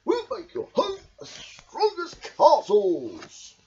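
A man's voice: extremely high pitch, wildly swooping pitch, slow, dark tone, highs cut off, pitched above the performer's own voice, exaggerated voice. A young male voicing a cheerful, kindly storybook badger in an exclamatory, sing-song way. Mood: cheerful, reassuring.

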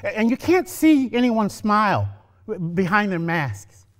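A man voicing a stammering, gentle young man. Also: sits high, big pitch swings, pitched above the performer's own voice, exaggerated voice. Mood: sad. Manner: halting, stammering speech.

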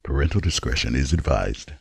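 A man's voice: rumbling low, expressive, a little fast, gravelly, darkish tone, husky, no echo. A man reading a scripted disclaimer as an announcer.

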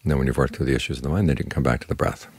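A man giving a short spoken meditation talk as a Buddhist teacher, calm, instructive and plain-spoken. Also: extremely low pitch, very fast, breathy edge.

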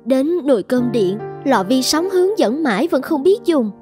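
A dutiful young mother from a cartoon, with a fairly high pitch. She sounds polite and earnest. Music is clearly heard behind her. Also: animated, moderate pace, a little mellow. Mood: weary complaint. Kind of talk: cartoon dubbing.

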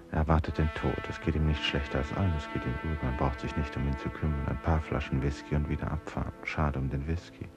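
A man's voice: extremely low pitch, muffled recording. An actor playing a haunted, brooding man in a radio play, musing in fragments and sometimes near a whisper. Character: haunted, brooding male narrator lost in thought. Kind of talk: German radio play inner monologue.

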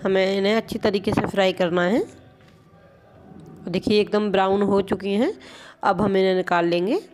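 A woman's voice: mid-range voice, fairly even intonation, moderate pace, darkish tone, soft background music. Woman patiently explaining a home recipe. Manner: patient, explanatory, keeps pointing things out. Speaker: home cooking channel host. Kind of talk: step-by-step cooking instruction. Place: home kitchen at a gas stove.

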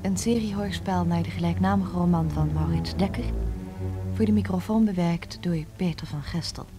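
A woman's voice: fairly low pitch, normal speed. A woman with a Dutch accent reading a scripted introduction as a radio drama announcer. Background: music.

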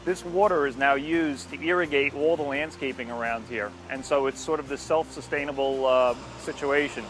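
An older man giving an informal, unscripted explanation to camera outdoors, speaking in a halting, explanatory way. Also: medium pitch, steady tone, noisy background. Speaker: landscape company presenter. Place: outdoors at a street corner by a station. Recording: music behind the voice, outdoor recording. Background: music.

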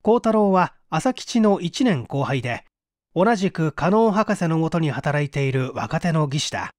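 Male storyteller for mystery audiobook: measured, expressive, wide intonation, dry recording. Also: fairly high pitch, no echo. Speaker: audiobook reader. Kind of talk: scripted storytelling narration of a Japanese mystery novel.

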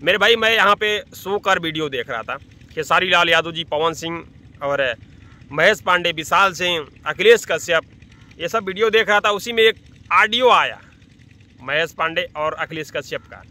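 A man's voice: on the high side, normal speed, sharp tone. A man, giving emphatic casual commentary to camera, repeating his phrases, outdoors into a phone at arm's length. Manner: emphatic, repeats phrases, addresses listeners as brother. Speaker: vlogger. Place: open-sided thatched hut by farm fields, outdoors.

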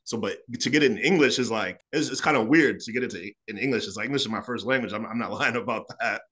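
A man in his thirties from Chicago, telling his story as an interview guest over a video call, animated and gesturing as he talks. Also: medium pitch, slightly rough. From Chicago, United States. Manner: fast, animated, gestures a lot while talking. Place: small room at home.

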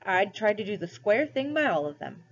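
A woman's voice: medium pitch, sing-song, normal speed, highs cut off. A woman voicing a humble, honest male cabby from a storybook, hesitant and deferential, with a rustic Cockney accent. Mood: earnest. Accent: Cockney softening to country. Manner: deferential, hesitant, plain-spoken.

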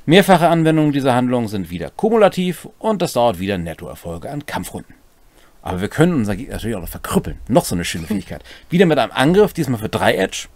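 A man's voice: mid-range voice, sing-song, quick pace, darkish tone, no room sound. A man in his forties explaining tabletop game rules in a conversational way as a co-host and game master. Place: small home room, indoors.

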